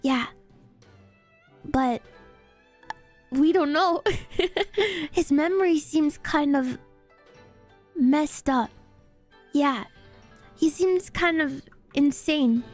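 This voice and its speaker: A woman's voice: sits high, darkish tone. A woman chatting casually as a streamer, with an offhand, amused and giggly manner. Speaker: VTuber streamer. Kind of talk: casual stream chat and recap.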